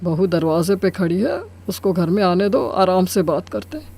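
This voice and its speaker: A man's voice: high-pitched, normal speed, darkish tone, dry recording. A man playing a middle-aged, easygoing father-in-law in a Hindi cartoon story, soothing and reasoning in manner. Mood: calming, firm. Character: kindly, easygoing father-in-law who keeps the peace.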